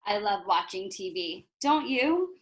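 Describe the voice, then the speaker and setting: A woman's voice: mid-range voice, measured pace. A woman reading a picture book aloud to young children like a teacher, expressively, with explanatory asides and questions to her listeners. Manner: animated, instructive, asks rhetorical questions of young listeners.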